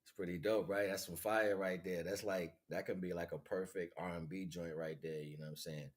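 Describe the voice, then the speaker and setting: A man's voice: low voice, fairly even intonation, fairly quick. An American man hosting a livestream, chatting casually in slangy, informal street talk. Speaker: livestream host. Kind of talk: casual livestream chat with shout-outs.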